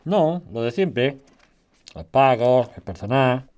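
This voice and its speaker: A man acts as a probing, inquisitive husband in a radio play. His voice sits at a middle pitch and he talks in a conversational, domestic way. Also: expressive, slow, darkish tone. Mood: affectionate, tired. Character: inquisitive husband working in family construction firm. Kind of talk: acted radio drama dialogue.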